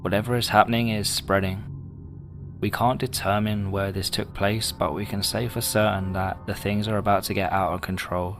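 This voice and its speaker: Guy narrating horror breakdown, lowish flat measured voice, music going behind him. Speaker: video essay narrator. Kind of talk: scripted narration, horror analysis.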